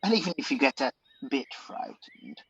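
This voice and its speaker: Guy acting sneaky radio panto baddie, smooth talker, slightly muffled recording, no room sound. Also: mid-range voice, wildly swooping pitch, normal speed, no echo, exaggerated voice. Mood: persuasive, urging. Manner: smooth-talking, persuasive, insinuating. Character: scheming male villain posing as a soothing conscience.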